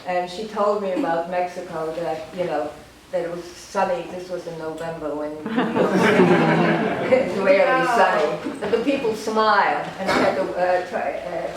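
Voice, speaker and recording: An older woman, a German native speaker from Romania, giving an informal talk about her life, halting and rambling with lots of fillers and wry self-deprecating humor. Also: lowish voice. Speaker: artist giving a gallery talk. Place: art gallery room. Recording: echoey gallery, distant, noisy ambience.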